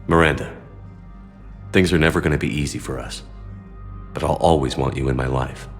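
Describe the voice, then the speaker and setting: Very deep, stoic military commander. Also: male voice, normal speed, big-room sound, faint music behind. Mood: steady, caring. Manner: terse, grave, restrained. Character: stoic, steadfast male military commander, protective. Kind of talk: dramatic video game acting.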